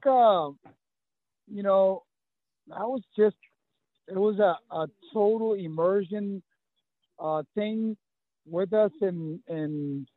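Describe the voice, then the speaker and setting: Brief: fifties South Texas man, Spanish first tongue, somewhat high voice, stop-start chat, thin phone-like sound. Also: no echo. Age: 50s. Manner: halting, many restarts and fillers. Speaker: guest, running coach. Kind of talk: casual interview. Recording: tinny phone-like line.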